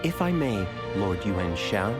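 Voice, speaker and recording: An actor playing a shrewd, slightly arrogant strategist in a video game, with polite and self-assured delivery. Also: male voice, lowish voice, big pitch swings, slow. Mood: courteous. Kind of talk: dramatic video game cutscene acting. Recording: loud background music under voice.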